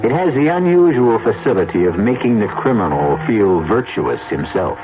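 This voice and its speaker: An American man narrating as a radio mystery host, wry and dramatic with measured pauses. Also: lowish voice, big pitch swings, music in the background. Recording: narrowband old radio broadcast.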